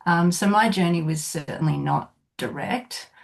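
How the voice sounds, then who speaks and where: An Australian woman with a very low, gravelly voice introducing herself on a video call. She talks in a chatty, personal way, with light laughs. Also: a little slow. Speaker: illustrator guest.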